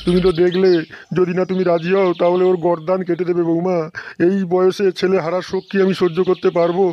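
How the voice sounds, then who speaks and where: A man's voice: sits high, monotone, fast, muffled recording, lightly acted. A man voicing an anxious old father-in-law in a comedy cartoon, pleading and hesitant, often repeating himself. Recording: noisy track with chirping birds.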